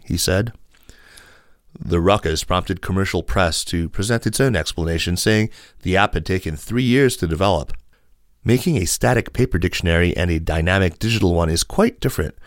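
A man with a deep voice reads news articles in a measured, formal manner, leaning into the quoted lines. The recording is close and dry, like a scripted podcast narration. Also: no room sound. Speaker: podcast host reading news stories.

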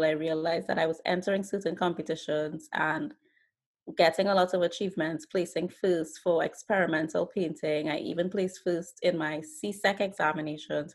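A young woman in her twenties with a Trinidadian accent, telling her story as an artist guest in an unhurried, thoughtful way. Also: fairly low pitch. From Trinidad and Tobago. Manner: relaxed, thoughtful storytelling with fillers. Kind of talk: casual interview.

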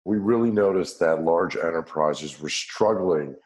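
A man with a deep voice, a company founder answering interview questions in a confident, businesslike way. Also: measured pace, a little mellow. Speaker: tech company founder and CEO.

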